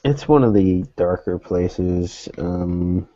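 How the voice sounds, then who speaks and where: A man acting as game master, narrating a tabletop roleplaying scene in halting short phrases. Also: low-pitched, dark tone, lo-fi sound.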